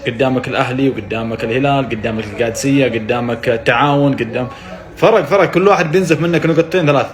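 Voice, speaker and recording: A man giving a sarcastic reaction to a football result and mocking the team's coming run of games. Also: medium pitch. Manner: sarcastic, rapid-fire ranting. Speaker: football fan commentator.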